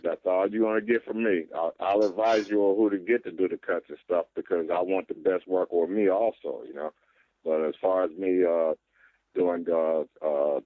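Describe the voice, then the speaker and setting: A man's voice: low-pitched, no echo. A man, a boxing trainer, answering interview questions plainly and repeating words as he goes. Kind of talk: interview answers over a call. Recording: dry muffled call audio.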